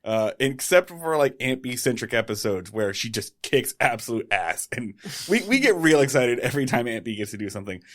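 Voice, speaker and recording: A man's voice: mid-range voice, sing-song, brisk, few pauses, a bit bright. A man co-hosting a podcast in casual chat, joking and rambling as he sets up the episode. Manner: joking, rambling, bursts of silly singing. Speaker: podcast co-host.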